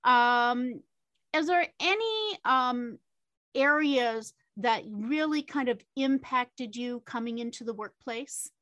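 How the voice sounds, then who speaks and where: A woman's voice: fairly high pitch, animated, takes it slowly, fairly bright tone, dry recording, highs cut off. A woman moderating a panel discussion, chatty, with many fillers and tag questions.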